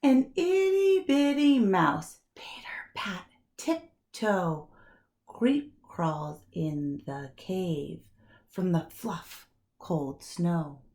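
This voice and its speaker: A woman reading a children's storybook aloud like a kindergarten teacher, expressive, with deliberate dramatic pauses and emphasis. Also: lowish voice.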